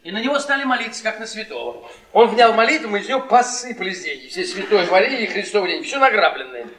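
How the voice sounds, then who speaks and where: An actor playing a bitter middle-aged husband who tells cruel, witty stories with theatrical mockery in a Russian radio drama. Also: male voice, high voice, expressive, fast, a bit bright. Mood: mocking storytelling. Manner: theatrical, sardonic, taunting. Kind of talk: Russian stage-style radio drama acting. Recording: echoey theatre, distant mic, audience noise.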